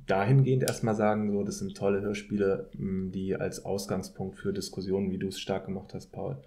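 A man in a thoughtful academic podcast discussion, speaking in a hesitant, measured way as an expert guest. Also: deep voice, little pitch movement, warm tone. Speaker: podcast guest, political scientist.

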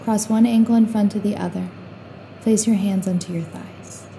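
A woman guiding a meditation in a calm, soothing, unhurried way, leaving long pauses between short instructions. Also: mid-range voice, gravelly, slight background noise. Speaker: meditation guide.

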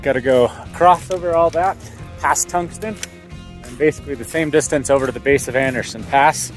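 Mid-pitched man vlogging on the trail. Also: a little fast, soft background music. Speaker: hiker and vlogger. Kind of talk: casual vlog narration. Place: outdoors in open mountains.

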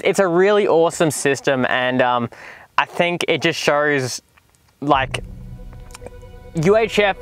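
A young Australian man in his twenties presents a casual vlog. His voice sits a bit high for a man, and he talks in an informal, enthusiastic way, as if chatting to mates. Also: fairly bright tone. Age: 20s. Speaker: vlogger and presenter. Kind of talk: casual vlog review to camera. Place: in a car and outdoors in the bush.

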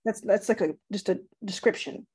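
A woman's voice: medium pitch, steady tone, moderate pace, a little mellow, husky. A middle-aged woman with a Texan accent hosting a casual video call interview, curious and enthusiastic. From Texas. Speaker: interview host. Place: home room indoors. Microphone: webcam, video call.

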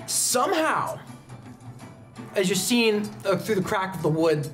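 A man's voice: high voice, sing-song, slow pace. A young man narrating an improvised tabletop roleplaying game as game master, describing scenes like a storyteller. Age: young adult. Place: indoor studio, seated at a desk. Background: background music.

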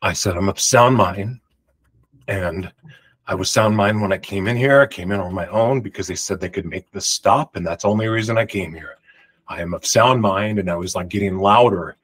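A man in his forties telling a rambling personal story to camera in a room at home, talking emphatically and projecting loudly. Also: low-pitched, normal speed, husky. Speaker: vlogger. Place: home room with pictures on the walls. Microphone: large studio mic beside him.

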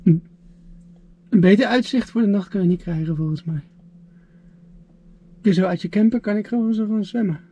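A man's voice: high voice, moderate pace, long pauses, dark tone, lo-fi sound. A man talking casually to the camera outdoors on a road trip, chatty and hesitant with fillers. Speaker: travel vlogger. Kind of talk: casual travel vlog talk to camera. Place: outdoors by a river.

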